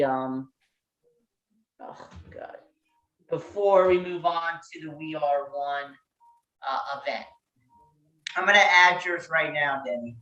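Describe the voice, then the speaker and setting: A woman running an online meeting over a video call, speaking slowly. She talks in a chatty, halting way, full of ums and restarts. Her voice has a roomy echo. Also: lowish voice, muffled recording. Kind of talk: casual video-call facilitation.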